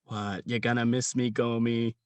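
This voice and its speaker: A young man acting in a comic radio play as a gruff, jaded old police sergeant, crude and rambling in his slangy talk. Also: mid-range voice, little pitch movement, moderate pace, no room sound, natural voice. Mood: cocky, needling. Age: young adult. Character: gruff, jaded veteran male police sergeant near retirement.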